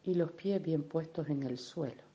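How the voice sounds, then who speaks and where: Very mellow, low-voiced woman guiding meditation. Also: lo-fi sound. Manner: gentle, unhurried, soothing, uses affectionate diminutives. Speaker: meditation guide. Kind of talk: guided meditation, soft instruction.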